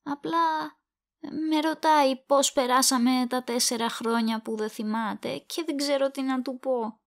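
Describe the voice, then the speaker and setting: High-voiced, flustered young woman, Anna, acted by a woman. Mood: worried. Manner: expressive, conversational. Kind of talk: Greek amateur audio drama acting.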